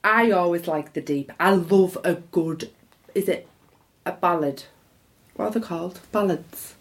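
A young woman, chatting casually and excitably on a podcast, swearing freely. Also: lowish voice, unhurried. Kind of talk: casual chat.

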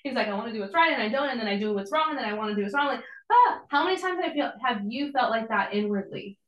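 A young woman giving a casual, encouraging devotional sermon, her voice a little hoarse as she recovers. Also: on the high side, lively intonation, fast talker, echoey room, dull audio. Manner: encouraging, conversational preaching with casual asides.

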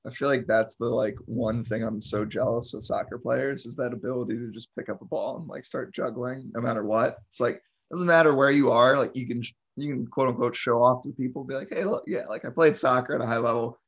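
A man's voice: mid-range voice, fairly quick, hardly any pauses. A man in his thirties hosting an interview, friendly and self-deprecating, trading jokes with his guest. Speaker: podcast host. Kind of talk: casual interview chat.

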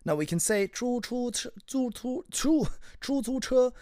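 Animated bilingual teacher woman. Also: on the high side, a little slow, no room sound, clean studio sound. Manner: explains word by word, switching between English and Chinese. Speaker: Mandarin teacher. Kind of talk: bilingual language lesson chat.

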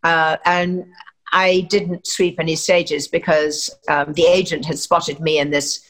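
A woman's voice: low voice, little pitch movement, a little slow. An older woman from England, an actress, giving chatty, rambling interview answers full of fillers and restarts.

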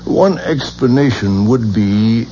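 An actor playing a composed, learned expert on reincarnation in a 1970s radio drama, explaining things in a measured, patronizing, professorial way. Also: male voice, mid-range voice, expressive, mellow. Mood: measured, lecturing. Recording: muffled, noisy 1970s radio broadcast.

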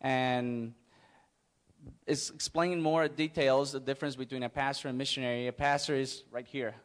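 Middle-aged male missionary, Mexican accent, mid pitch, halting informal interview delivery. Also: fairly even intonation. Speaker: missionary guest. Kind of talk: informal interview before a church audience.

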